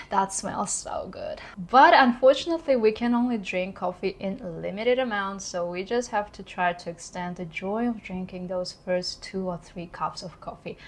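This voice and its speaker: A woman's voice: mid-range voice, unhurried. A woman in her thirties from Kazakhstan with a Kazakh accent, speaking English as a second language in an earnest, reflective personal vlog. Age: 30s. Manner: earnest, reflective, occasionally laughing. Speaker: vlogger.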